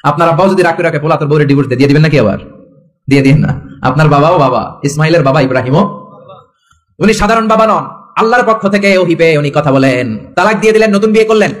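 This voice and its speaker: A man's voice: fairly high pitch, lively intonation, quick pace. A Bangladeshi man preaching an Islamic storytelling sermon, emphatic, repeating phrases and putting questions to his listeners. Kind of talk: animated storytelling sermon to a live gathering.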